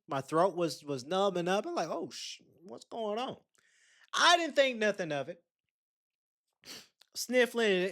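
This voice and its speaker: A man's voice: on the high side, fairly bright tone. A young man, hosting a podcast and telling a rambling, chatty story straight to his listeners. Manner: rambling, chatty, addresses listeners directly with asides. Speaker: podcast host. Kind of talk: casual solo storytelling.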